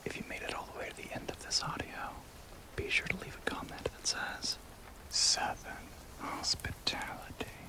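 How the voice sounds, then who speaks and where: A man who makes audio roleplays gives a scripted sign-off to listeners in a breathy whisper. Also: a little fast. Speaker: audio roleplay creator giving closing credits.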